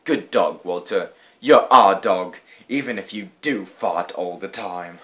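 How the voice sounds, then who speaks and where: A man voicing a stern, fed-up father from a storybook, in loud declarations. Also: low-pitched, a little slow. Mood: excited, proud.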